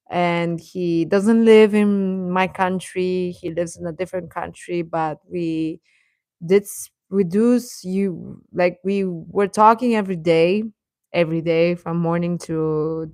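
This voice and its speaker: A woman's voice: on the low side, fairly even intonation, takes it slowly, darkish tone, dry recording. A woman hosting a livestream, chatting casually and reacting to viewers, often repeating her phrases. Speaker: livestream host.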